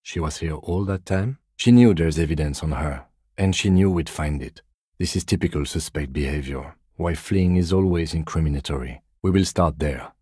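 A man playing a composed, by-the-book police detective in a video game, speaking in a measured, reserved, matter-of-fact way. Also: very deep voice, warm tone, slightly rough, dry recording, clean studio sound. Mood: composed, dry. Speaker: voice actor. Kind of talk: video game character dialogue.

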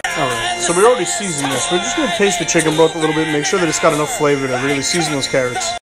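Fast-talking brash young home cook. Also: male voice, fairly high pitch, animated, over loud music, poor audio. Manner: brash, joking, streetwise slang. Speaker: home cooking host. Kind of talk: casual cooking vlog narration. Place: home kitchen, indoors. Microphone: phone camera held close.

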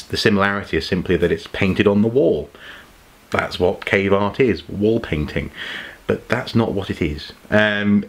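A man, talking casually to camera in an impromptu archaeology vlog, musing aloud with plenty of ums and repeated words. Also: sits low. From England. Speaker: archaeology YouTuber. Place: home study with shelves of collectibles.

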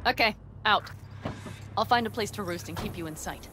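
A woman's voice: mid-range voice, normal speed, over music, lightly acted. An actress playing a tough, driven young nomad woman in a video game, speaking in an emphatic, commanding way. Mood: tense, commanding.

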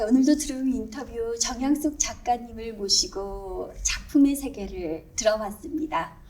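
A woman's voice: fairly high pitch, a little mellow, distant mic. A woman hosting a broadcast interview in a formal, courteous style. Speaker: interview host.

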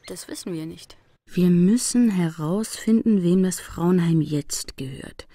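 An actress playing a sober, persistent female investigator in a German crime radio drama, with a deliberate, questioning manner. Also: on the low side, warm tone, no echo, studio quality. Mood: pondering.

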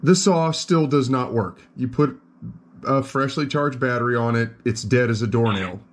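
A man calling a customer service line, explaining a complaint in a measured, mildly exasperated way. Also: medium pitch. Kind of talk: phone call. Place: indoors at a desk.

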